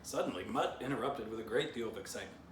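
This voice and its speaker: A man narrating a children's storybook aloud in an animated way, as an author reading his book. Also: on the low side, fast talker. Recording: distant mic, roomy, noisy.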